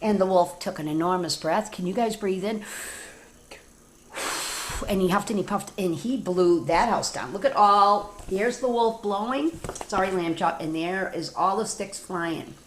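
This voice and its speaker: An American woman tells a children's story aloud at a middling pitch. She is animated and informal, often breaking off for chatty asides spoken straight to her young listeners. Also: measured pace, slight background noise. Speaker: teacher reading stories to children.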